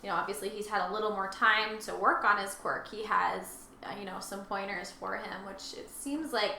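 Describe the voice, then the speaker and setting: Bright-voiced woman co-host in echoey room. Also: medium pitch, moderate pace, creaky. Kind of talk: casual story discussion. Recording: echoey room with faint ambient noise.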